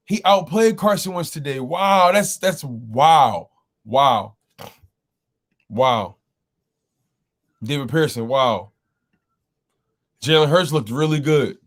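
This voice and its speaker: A man with a slightly scratchy voice hosts a live sports talk stream. He speaks slowly and drawn out, greeting his chat by name and repeating his points with loud exclamations. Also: on the high side. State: throat a bit rough. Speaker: host of a sports call-in show.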